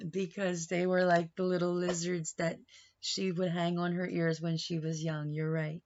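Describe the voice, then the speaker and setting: A woman reading a picture book aloud in an animated, dramatic manner, pausing for asides and questions to young listeners. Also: lowish voice, dull audio, a little hiss or hum. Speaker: read-aloud storyteller.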